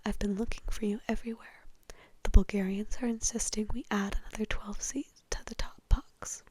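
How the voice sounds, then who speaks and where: Stiff elderly wizard bureaucrat read by a woman: dry, curt, formal, hushed whisper, storybook style. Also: mid-range voice, flat delivery, normal speed, lightly acted. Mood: impatient. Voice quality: breathy, whispered. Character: stiff, impatient, rule-bound elderly wizard official, male. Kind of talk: whispered storybook character reading.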